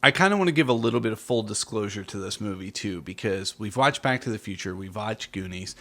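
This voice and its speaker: A middle-aged man hosting a casual film podcast, explaining things and gently prompting his young co-host. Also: fairly low pitch, animated, fairly quick. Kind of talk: casual movie discussion with a child.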